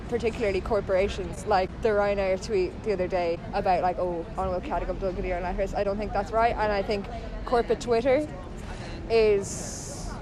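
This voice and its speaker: Young Irish woman outdoors over street noise. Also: medium pitch. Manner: indignant, casual. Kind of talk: street interview. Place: outdoors in town.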